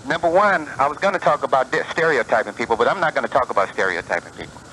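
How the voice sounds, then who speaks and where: A man's voice: mid-range voice, lively intonation, very fast, sharp tone, low-quality recording. A man calling into a radio show and challenging the host with plainspoken questions. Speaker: caller. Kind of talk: call-in phone conversation. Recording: caller audio on a 1990s radio broadcast with faint hiss.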